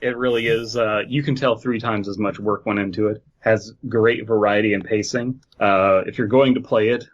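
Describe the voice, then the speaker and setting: A man's voice: fairly low pitch, fairly even intonation, normal speed, few pauses, muffled recording. A man hosting a casual video game discussion in an enthusiastic, emphatic way. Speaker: podcast host.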